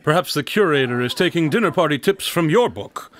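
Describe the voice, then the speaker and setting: A man playing a coolly logical, flippant gentleman detective in an audio drama, with a wry, wordy, formal manner. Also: fairly high pitch, lively intonation, brisk, a crowd in the background, close to an everyday voice. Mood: dry, analytical.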